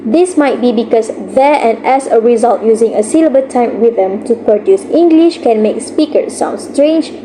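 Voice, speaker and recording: A woman student reading a scripted class presentation in a steady manner, with the odd stumble. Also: fairly high pitch, moderate pace, hardly any pauses, noisy background. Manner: steady scripted delivery with occasional slips.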